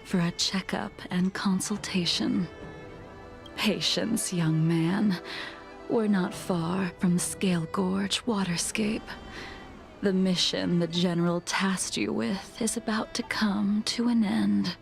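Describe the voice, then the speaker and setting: A mysterious, composed woman from a video game. She speaks slowly in a breathy hush with a creaky edge. Her teasing stays cool, with soft music behind. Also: mid-range voice, steady tone. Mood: teasing, calm.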